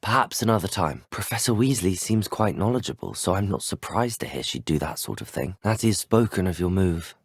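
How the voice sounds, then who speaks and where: A man's voice: deep voice, expressive, fairly quick, vocal fry, hardly any pauses, breathy edge, dry recording, studio quality, exaggerated voice. A young man with a British accent, acting as a polite, curious teenage wizard schoolboy in a video game, speaking in a courteous, formal, well-spoken way. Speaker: voice actor for a player character. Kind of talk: video game character dialogue.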